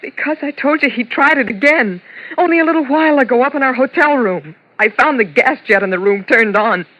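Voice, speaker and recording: An actress playing a frightened young wife in a 1950s radio suspense drama, anxious and halting in her delivery. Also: high-pitched, expressive, fairly bright tone, no echo. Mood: desperate, pleading. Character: frightened young wife, Dixie, narrating her murder fears. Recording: dull vintage radio recording with music.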